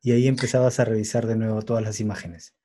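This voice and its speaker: A man asking questions in a conversational interview, feeling his way through them in a measured manner. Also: fairly low pitch, steady tone, mellow.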